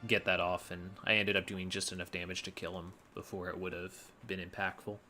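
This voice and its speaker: A man's voice: low-pitched, flat delivery, fairly quick, sharp tone, soft background music. A man giving casual, analytical gameplay commentary as a speedrunner, explaining his choices.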